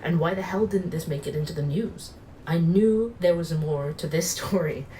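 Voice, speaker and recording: A man's voice: on the high side, normal speed, mellow, slight background noise, lightly acted, poor audio. A man playing a rattled young investigator who talks feverishly to himself, muttering and close to breaking down.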